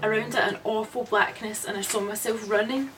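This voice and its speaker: An actress playing a haunted woman who narrates her nightmare slowly and dramatically in an old radio suspense drama. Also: medium pitch, steady tone, a bit bright, faint music behind, natural voice. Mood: haunted dread. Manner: slow, dramatic, dread-laden. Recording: distant, noisy old radio recording.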